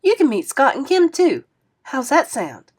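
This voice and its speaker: A bright, lively motherly lady who fusses and frets over a child, as a woman puts her on. Her voice rises and swings with feeling. A storybook character in a read-aloud audiobook. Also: sits high, measured pace, pitched above the performer's own voice, full character voice. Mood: sweet. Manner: warm, animated, fussing. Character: fussing motherly woman, kind but scolding.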